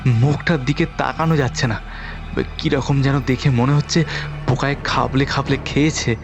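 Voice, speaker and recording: A man's voice: medium pitch, steady tone, normal speed, lo-fi sound, lightly acted. A man playing a frightened but resolute young man in a horror audio story, urgent and insistent, repeating himself. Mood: shaken. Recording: voice over background music.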